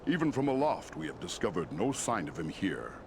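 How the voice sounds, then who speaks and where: An actor playing a stately, wise lord of giant eagles in a fantasy game, speaking with regal formality. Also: male voice, medium pitch, sing-song, fairly quick, gravelly, noisy background. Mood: grave, measured. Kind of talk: fantasy game cutscene acting.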